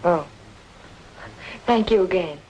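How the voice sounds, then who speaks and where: A woman's voice: lowish voice, slow, warm tone, dull audio, a little hiss or hum. A woman playing a determined, lovelorn young amateur sleuth in an old-time radio noir drama, speaking earnestly and insistently. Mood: polite, inquiring.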